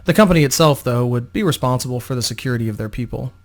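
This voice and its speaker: A man's voice: medium pitch, very fast. A man narrating a scripted fantasy story in a dramatic reading, as an audiobook narrator.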